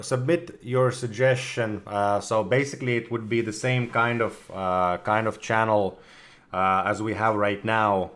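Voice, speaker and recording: A man with a fairly low voice, slightly hoarse from a recent cold, talks casually to his stream audience. He hesitates often, restarts his sentences and stops to clear his throat. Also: fairly bright tone. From Russia. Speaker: community manager of a game studio. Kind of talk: casual livestream chat with viewers.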